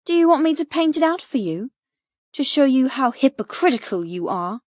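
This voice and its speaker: A woman's voice: high-pitched, big pitch swings, moderate pace, no room sound, pitched above the performer's own voice. A woman plays a defiant young female captive in an audiobook drama. She is bold and provoking, pushing her words out with heated emphasis. The recording is dry and a little muffled. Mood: heated, insistent.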